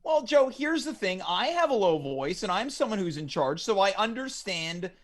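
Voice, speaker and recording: Male host, high pitch, mock-formal announcer delivery for a comedy awards parody. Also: big pitch swings, normal speed.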